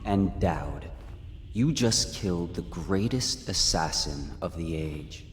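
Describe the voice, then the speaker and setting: An otherworldly trickster god in a game, low and unhurried. He is detached, cryptic and taunting, his voice trailing in long reverb. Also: lively intonation, faint music behind. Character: detached, mocking supernatural male trickster god. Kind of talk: dramatic video game monologue. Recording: long reverb, faint noise.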